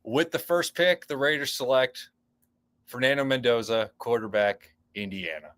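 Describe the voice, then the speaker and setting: A man's voice: medium pitch, moderate pace, a bit bright. A man co-hosting a casual live sports podcast from a backyard studio, speaking in a laid-back, hesitant way with plenty of fillers. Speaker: podcast co-host.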